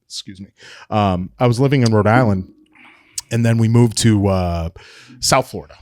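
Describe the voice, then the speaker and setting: A man's voice: lowish voice, normal speed, a little mellow, no room sound, clean studio sound. A man in his late thirties from New England, a comedian guest rambling and swearing in casual chat. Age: 30s. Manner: rambling, profane, self-mocking.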